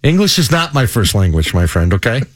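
Radio co-host, male, wisecracking banter, animated swinging delivery, dry close studio sound. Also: medium pitch, brisk, no room sound. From Ireland. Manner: wisecracking, rhetorical "right?" and "okay?". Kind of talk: joking banter about crime news.

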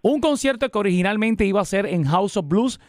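A man hosting a live radio interview, with a lively announcer delivery and frequent fillers when chatting. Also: sits high, brisk, no room sound, studio quality. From Puerto Rico. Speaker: radio host.

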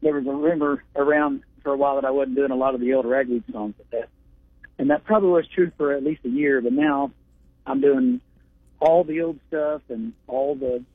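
A man's voice: mid-range voice, normal speed, husky, no room sound. A man from Oklahoma, a touring musician, chatting easily as a guest over the phone and laughing now and then. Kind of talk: casual interview by phone. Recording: tinny phone line.

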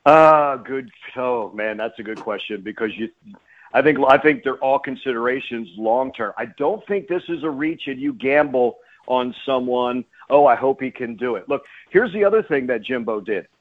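A man's voice: mid-range voice, lively intonation, normal speed, narrow, tinny audio. A man talking as a guest announcer in a sports radio interview, emphatic and repeating phrases for stress.

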